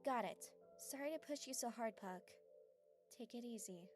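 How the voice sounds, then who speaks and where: A woman playing an earnest, guarded but kind young mage girl in an anime fan dub, soft and mildly stern. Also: on the high side, moderate pace, faint music behind, lightly acted. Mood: tender.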